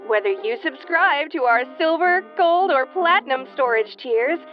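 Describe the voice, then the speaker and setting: A woman's voice: high-pitched, expressive, a little slow, dull audio, faint music behind. A woman playing a sardonic, sweary tomboyish young starship commander in an audio roleplay, stammering when rattled but secretly tender. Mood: reading aloud, irritated.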